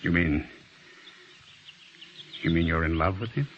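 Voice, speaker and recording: An actor playing a lovestruck, earnest American man of thirty-six in an old-time radio drama, speaking in a measured, dramatic way. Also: low voice, a little hiss or hum. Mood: dejected. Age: 30s. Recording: dull old radio recording, music behind.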